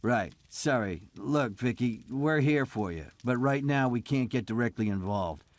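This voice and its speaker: An actor playing an easygoing American covert agent who talks in relaxed, joking banter. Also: male voice, medium pitch, measured pace, natural voice. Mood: friendly.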